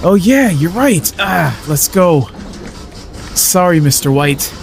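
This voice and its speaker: A man's voice: high voice, expressive, slow, creaky, faint music behind, exaggerated voice. A man playing an eager, earnest young news photographer in an audio drama, boyish and apologetic. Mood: flustered, apologetic.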